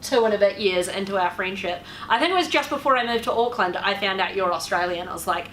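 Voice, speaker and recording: A woman's voice: mid-range voice, fairly bright tone. A woman hosting a podcast in bubbly, chatty conversation. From New Zealand. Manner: bubbly, chatty, quick to laugh. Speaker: podcast host. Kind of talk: casual chat. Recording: echoey room with faint noise.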